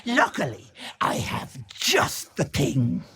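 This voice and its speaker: A wicked old granny witch for a video game, very husky and cracked. She draws her words out slowly and swings widely in pitch, dripping false sweetness over open menace. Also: wildly swooping pitch, fairly bright tone, exaggerated voice. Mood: mock-sweet, teasing. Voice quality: husky, raspy cackling old voice. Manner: mock-sweet endearments, taunting, menacing. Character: gleefully malicious old hag, sweet-talking folksy granny witch. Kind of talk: theatrical video game character acting.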